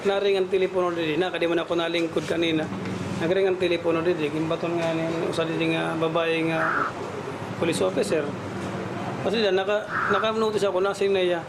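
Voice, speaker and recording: Male interviewee, very high-pitched for a man, Waray accent, recounting off the cuff, clearly noisy location sound. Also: level tone, a little fast. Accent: Waray, Philippines. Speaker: news interviewee. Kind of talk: spontaneous interview account.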